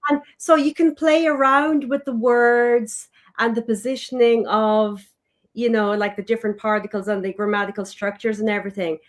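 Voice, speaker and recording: A woman joins a casual conversation with clear enthusiasm. She rephrases herself mid-thought and keeps things friendly. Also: on the high side, little pitch movement, normal speed. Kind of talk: casual chat.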